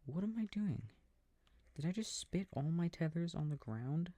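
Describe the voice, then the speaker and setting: A man softly rambling to himself as he plays a video game for a sleep aid, often dropping into whispers, with a breathy, gravelly voice close to the mic. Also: fairly high pitch, expressive, moderate pace, no room sound. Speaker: audio creator playing a video game. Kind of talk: soft rambling gameplay talk for a sleep aid. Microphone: close, dry microphone.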